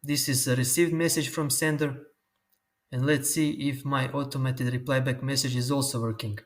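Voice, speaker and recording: Man explaining software steps. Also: mid-range voice, little pitch movement. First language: Croatian. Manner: slow, step-by-step instructions. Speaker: tutorial host. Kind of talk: screen-recorded software tutorial.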